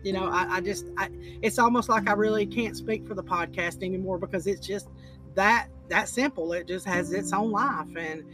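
Woman podcast host, raspy and deep-voiced, chatty and rambling. Music bed behind her. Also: normal speed. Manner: rambling, repeats words, many "you know"s. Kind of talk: casual interview chat.

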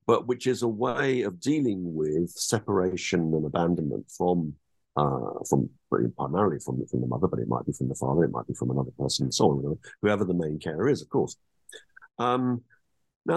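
A man's voice: fairly low pitch, creaky, slightly rough, dry recording. A middle-aged man speaking as an author guest in an academic interview, reflective and hesitant, restarting his phrases often. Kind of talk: academic interview over a call.

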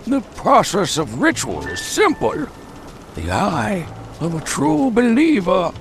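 Radio drama villain: ancient sinister sorcerer, very high voice, drawn-out incantatory delivery. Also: male voice, huge pitch swings, gravelly, soft background music, full character voice. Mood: ominous. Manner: slow, grandiose, incantatory delivery. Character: sinister old male sorcerer leading a dark ritual. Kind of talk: old-time radio drama acting.

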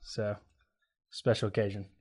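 A man co-hosting a podcast, bantering in a quick, jokey way with chuckles. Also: fairly low pitch, little pitch movement, a little mellow, husky. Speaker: podcast co-host. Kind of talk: casual banter.

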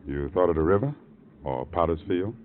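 An actor playing a gruff, brooding rooming-house man in a 1950s radio drama, musing in terse fragments. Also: low-pitched, moderate pace, lightly acted. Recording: tinny, noisy old radio recording.

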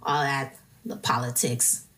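A woman's voice: rumbling low, little pitch movement, measured pace, fairly bright tone, far from the mic. A woman chatting casually as a guest DJ, with a slangy, laid-back way of talking. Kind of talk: casual chat.

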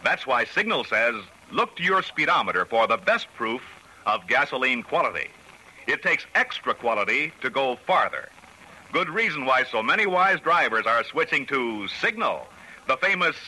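An American male announcer in classic 1940s radio style. His mid-pitched voice is extremely bright and forceful, rising and falling keenly as he makes an emphatic, polished sales pitch. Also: poor audio. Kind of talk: 1940s radio advertisement read.

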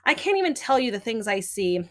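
Chatty, animated young woman podcaster. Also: fairly high pitch, fairly quick. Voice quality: loud talking voice. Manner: animated, emphatic, chatty with many fillers. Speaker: podcast host. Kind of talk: casual solo podcast talk.

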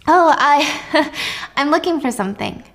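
Woman acting a flustered high-voiced young wife. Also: lively intonation, a little slow, vocal fry, a bit bright, exaggerated voice. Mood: nervous covering. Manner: apologetic, flustered. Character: nervous, polite young married woman making excuses.

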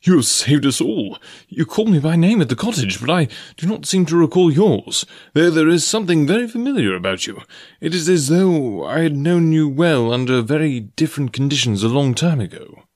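Audiobook role: grateful, insistent gentleman, excited, pitched up, performed by a man. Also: normal speed, hardly any pauses, dry recording, clean studio sound. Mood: grateful, puzzled. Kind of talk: audiobook character dialogue.

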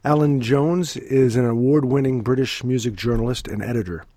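A man hosting a music interview podcast, sounding stuffy from a cold and reading his guest's background in a measured, formal way. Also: medium pitch, mellow, no room sound, studio quality. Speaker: podcast host.

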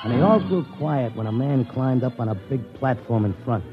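A man acts a cynical horse player from old-time radio, all wisecracks and street slang. His voice sits at a middle pitch on a dull vintage recording, with music behind it. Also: expressive, moderate pace, close to an everyday voice. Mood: haunted storytelling. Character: brash, cynical horse-racing gambler telling his strange story. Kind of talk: classic radio drama acting and first-person narration.

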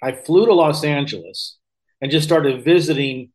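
A man's voice: on the high side, moderate pace, darkish tone. A man in his fifties with an American accent, talking casually as an interview guest and rambling through personal stories with frequent self-corrections. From Missouri, United States. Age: 50s. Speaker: podcast guest, real estate investor. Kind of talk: casual anecdotal interview talk.

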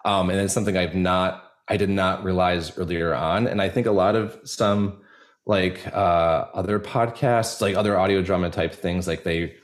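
A man speaking reflectively as a podcast guest, earnest and halting, with a self-deprecating way of talking. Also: low-pitched, fairly even intonation. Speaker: podcast guest, audio drama creator. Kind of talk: reflective interview conversation.

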